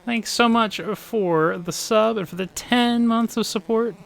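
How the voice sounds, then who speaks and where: Upbeat male streamer around 40, voice a touch hoarse and pitched on the high side; live gameplay chatter. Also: a little slow. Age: 40s. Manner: upbeat, chatty, thanks viewers while concentrating. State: slightly hoarse. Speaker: speedrun streamer.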